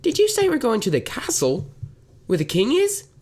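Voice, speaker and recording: A woman's voice: fairly high pitch, wildly swooping pitch, darkish tone, breathy edge, exaggerated voice. A woman playing a dim-witted, easily confused carriage driver turned kidnapper in an amateur radio drama, with exaggerated delivery. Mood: defensive, baffled. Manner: exaggerated, slow on the uptake.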